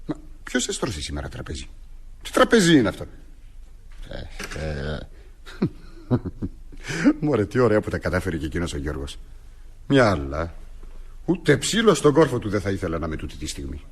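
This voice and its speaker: A man playing a grumbling but amused young master of the house in a stage farce, husky and exclamatory. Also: sits low, wildly swooping pitch, pauses often, heavily acted. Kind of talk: theatrical stage comedy acting.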